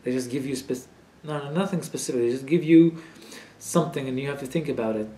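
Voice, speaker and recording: A man's voice: mid-range voice, fast, dark tone. A young Palestinian man answering interview questions in frank casual talk, with many fillers and an occasional curse. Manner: frank, swears when riled, many fillers. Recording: echoey room, faint ambient noise.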